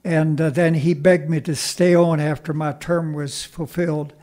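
A man's voice: a little high, little pitch movement, moderate pace, warm tone. A man in his eighties from Pennsylvania with a Pennsylvania Dutch accent, casually reminiscing as a radio interview guest in a folksy way. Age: 80s. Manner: folksy, chuckles often.

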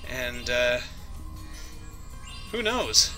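A young man talking over his gameplay with a bright, lively voice that bounces all over the place. He clowns around, makes silly noises and chats with the characters on screen. Game music plays softly behind him. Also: mid-range voice, drawn-out words. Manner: goofy, jokey, talks to the game characters. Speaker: let's play host. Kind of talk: casual gameplay commentary.

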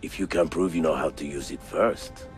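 An actor playing a proud, gruff Ottoman navigator who sells bombs, with a Turkish accent and a dry, deliberate manner in a video game. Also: very deep voice, faint music behind. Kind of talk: cinematic video game acting.

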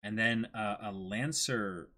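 A man's voice: lowish voice, slow, sharp tone. A man in his twenties giving a casual, amazed running reaction as he reads a story aloud on a stream. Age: 20s. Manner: exclaims in amazement, acts out dialogue. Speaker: streamer reading a manga aloud. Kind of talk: casual reaction commentary with dramatic reading.

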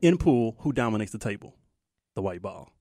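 A man's voice: lowish voice, sing-song, moderate pace, mellow, dry recording, clean studio sound. A man co-hosting a radio talk show, explaining his points by asking rhetorical questions. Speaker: radio co-host. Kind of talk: casual studio chat.

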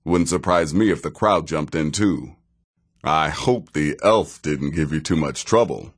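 A man playing a wry, sardonic middle-aged assassin in a fantasy video game, speaking in a dry, measured, sarcastic way. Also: a bass voice, exaggerated voice. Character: wry, sardonic veteran male assassin handing out contracts.

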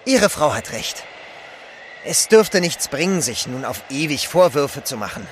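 An actor playing a polite, loyal manservant in an adventure audio drama, speaking courteously. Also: male voice, on the high side, a little slow, a little hiss or hum. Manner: courteous, animated.

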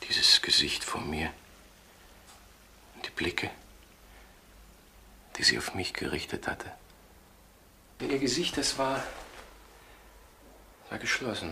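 Radio crime drama: infatuated male lawyer, low voice, earnest and confessional, hesitant delivery, noisy old recording. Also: vocal fry, sharp tone, heavily acted. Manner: halting, confessional, intense. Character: earnest, infatuated lawyer recounting a romance to police. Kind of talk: German radio crime drama acting, reflective narration.

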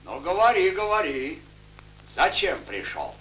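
An actor playing a fussy, imperious old tsar in a 1940s fairy-tale cartoon. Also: male voice, sits high, sing-song, a little slow, fairly bright tone, full character voice, rough recording. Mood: doubtful, regal. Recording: old 1940s film soundtrack.

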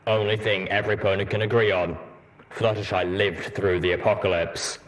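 A man voicing a blunt, world-weary armored pony soldier in a measured, matter-of-fact way for a storybook reading. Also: fairly low pitch, flat delivery, crowd noise, close to an everyday voice, low-quality recording. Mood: grim.

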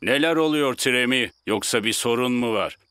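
A woman voicing a kindly older talking tower in a cartoon dub, with a gentle storytelling manner. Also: rumbling low, moderate pace, dry recording. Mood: concerned, gentle. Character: kindly older talking tower who tells star stories. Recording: music behind the voice.

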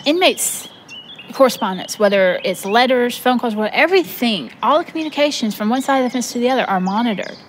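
A woman's voice: a little high, lively intonation, fairly quick, a little hiss or hum. A woman explaining things as an interviewee in a documentary.

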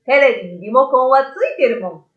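A woman voicing an excitable, incredulous young boy with emphatic, casual childlike speech in a storybook reading. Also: on the high side, fast, roomy sound, narrow, tinny audio, natural voice. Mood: matter-of-fact. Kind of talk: storybook radio drama reading.

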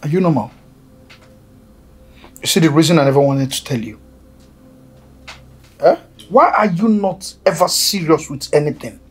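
A man's voice: on the high side, normal speed, long pauses. An actor with a Nigerian accent playing a troubled young man who talks in a subdued, serious way. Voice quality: husky. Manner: subdued, serious, half-whispered.

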